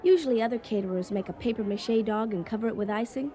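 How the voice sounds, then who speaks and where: Actress as a flustered, high-voiced chatterbox hostess. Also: female voice, quick pace, mellow, some chatter behind, natural voice. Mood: chatty, excited. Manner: rambling, flustered, guileless. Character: nervous, chatty, scatterbrained female party hostess. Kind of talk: comedic radio drama acting.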